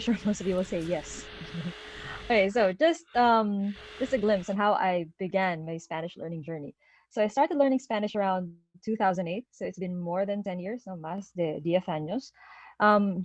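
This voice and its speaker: A woman's voice: mid-range voice, darkish tone, no echo. A Filipino woman in her thirties, a native Tagalog speaker, gives an online presentation over a video call. She speaks in a friendly way, often checks in with "okay?" and uses many "uh" fillers. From Philippines. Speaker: guest speaker.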